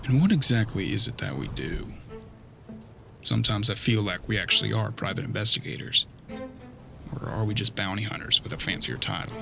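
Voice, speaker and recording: A man acts as a young frontier apprentice, earnest but skeptical. The voice is fairly low with a crackly fry, folksy and questioning, and music plays clearly behind it. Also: brisk. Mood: doubtful, questioning. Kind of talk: western audio drama acting.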